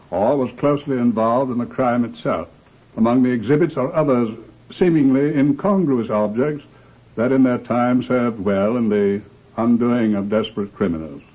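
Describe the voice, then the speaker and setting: An actor with a British accent playing an authoritative police superintendent who narrates crime cases in a formal, measured, deliberate old-time radio style. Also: male voice, mid-range voice, phone-quality sound, natural voice. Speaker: narrator, host of crime series. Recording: thin, band-limited old radio recording.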